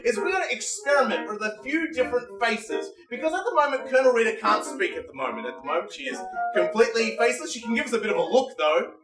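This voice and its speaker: Man, exclamatory stage host, audience banter, echoey distant sound, music playing loudly. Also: very high voice, big pitch swings, fast, few pauses. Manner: showman-like, exclamatory. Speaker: live show host. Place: live comedy stage.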